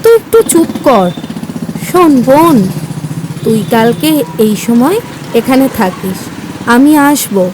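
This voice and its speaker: A woman's voice: sits high, very animated, slow, pauses often, noisy background, heavily acted. A woman playing a kind-hearted, earnest schoolgirl in an audio story. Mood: gentle, kindly.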